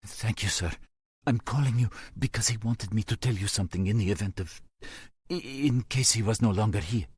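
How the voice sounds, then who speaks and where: Guy putting on a nervous young man with a thick accent, a bit higher than his own deep voice, hesitant, dry sound. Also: fairly quick, darkish tone, slightly rough, no echo. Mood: tentative. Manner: hesitant, stammering.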